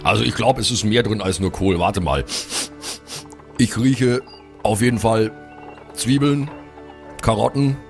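Ein Mann spielt einen hungrigen, pflichtbewussten Zwergensohn, der liebevoll und beschwichtigend mit seiner Familie redet. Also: male voice, fairly low pitch, unhurried, pauses often, soft background music. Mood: appreciative.

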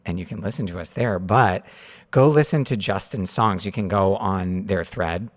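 Male podcast host, lowish voice, enthusiastic and playful. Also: moderate pace. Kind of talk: casual podcast wrap-up chat.

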